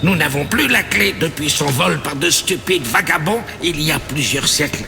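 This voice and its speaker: Creaky solemn monk guy for a game cutscene, music underneath, grave storyteller. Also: on the high side, expressive, moderate pace. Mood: solemn, foreboding. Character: grave male monk, solemn guardian of an ancient treasure. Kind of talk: dramatic video game cutscene acting. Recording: long hall reverb, music underneath.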